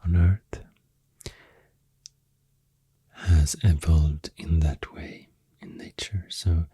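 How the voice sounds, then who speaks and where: A woman with a Swedish accent doing soft-spoken ASMR, hushed and halting, with a deep, breathy, often whispery voice close to the mic. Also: steady tone, no echo. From Sweden. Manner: slow, hushed, halting with long pauses. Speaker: ASMR host. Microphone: close microphone. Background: soft pencil and clicking sounds.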